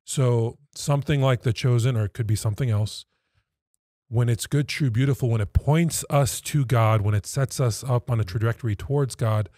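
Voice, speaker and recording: A man in his thirties, a podcast guest explaining things conversationally in measured, emphatic phrases. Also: mid-range voice, a little mellow, dry recording, studio quality. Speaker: podcast guest, pastoral associate. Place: small indoor podcast studio. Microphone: close studio mic on stand.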